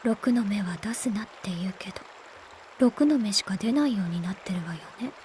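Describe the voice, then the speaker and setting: A woman playing a cold, melancholic young witch in a visual novel, speaking in a quiet, breathy murmur. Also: medium pitch, animated, rapid-fire, a little hiss or hum, natural voice. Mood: melancholy. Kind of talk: Japanese visual novel voice acting.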